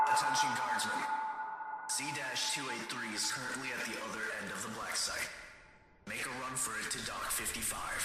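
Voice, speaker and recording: A man's voice: lowish voice, very noisy, close to an everyday voice. A man playing a stern, menacing facility commander, giving formal, clipped orders as scripted military-style announcements in a game. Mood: cold, threatening. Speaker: game announcer and mission handler. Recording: echoey reverberant announcement system.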